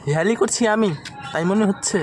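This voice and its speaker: A man's voice: sits high, fairly quick, over loud music. A man making a prank phone call as a reproachful former lover, insistently questioning.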